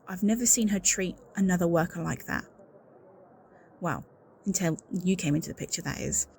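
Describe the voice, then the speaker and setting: A woman's voice: lowish voice, normal speed, creaky, darkish tone, slight background noise. Woman playing an anxious, suspicious secretary confiding. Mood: wary, confiding. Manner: earnest, confiding, insistent. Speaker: voice actor in audio roleplay. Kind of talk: scripted audio roleplay acting, one-sided conversation.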